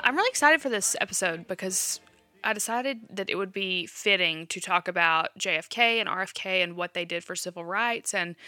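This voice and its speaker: Bright chatty woman podcast host. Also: mid-range voice, no echo, clean studio sound. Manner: chatty and upbeat, laughs easily. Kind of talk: casual podcast chat.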